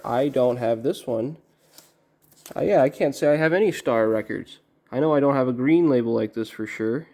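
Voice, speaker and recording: A man giving casual, halting unboxing commentary as a collector, musing to himself in a low-key way. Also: a little mellow. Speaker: record collector presenting an unboxing.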